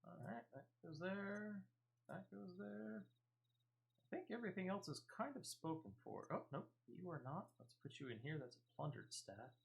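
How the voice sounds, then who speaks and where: A man's voice: sits high, animated, moderate pace, gravelly. A man giving casual live gameplay commentary as a streamer, chatty and emphatic, often repeating phrases. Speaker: game streamer.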